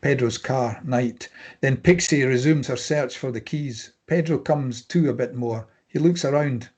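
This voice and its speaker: A man with a rough-edged, husky voice reads a screenplay aloud as narrator. His pitch is average, and he delivers scene headings and action lines as scripted narration. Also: unhurried, darkish tone. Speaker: narrator reading stage directions.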